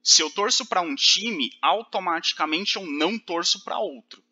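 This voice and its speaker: A man's voice: high-pitched, lively intonation, moderate pace, bright, forceful tone, dull audio. A man teaching a recorded lecture, explaining step by step with fillers and check-ins.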